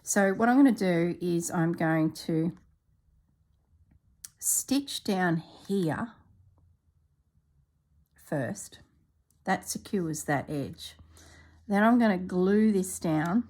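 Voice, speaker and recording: Slow, soft-spoken woman with a congested cough. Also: on the low side. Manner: soft-spoken, often drops to a whisper while working. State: congested, coughing, clearing her throat. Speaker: craft video maker. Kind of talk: relaxed crafting commentary.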